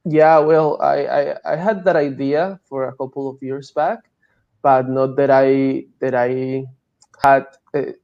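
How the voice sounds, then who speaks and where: A man's voice: mid-range voice, level tone, takes it slowly, gravelly. A man in his early thirties with a Colombian accent, answering casually as an interview guest. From Colombia, Bogota. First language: Spanish. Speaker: interview guest, DevOps engineer. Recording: dull lo-fi online call sound.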